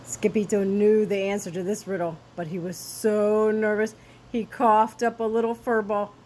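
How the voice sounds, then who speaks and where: A woman storyteller reading a children's story aloud with expressive, theatrical emphasis. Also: mid-range voice, moderate pace, slight background noise, rough recording.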